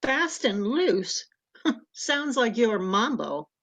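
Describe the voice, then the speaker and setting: Woman acting a brash, animated young secretary. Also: on the high side, extremely slow, full character voice. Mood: teasing, brash. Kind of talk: comic old-time radio play acting.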